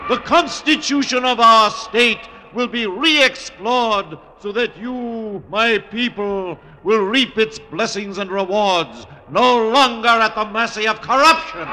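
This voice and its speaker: An actor playing a grand, commanding Roman conqueror in a classic radio drama, declaiming with measured pomp. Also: male voice, extremely high pitch, exaggerated voice, poor audio. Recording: old radio recording, loud noise behind.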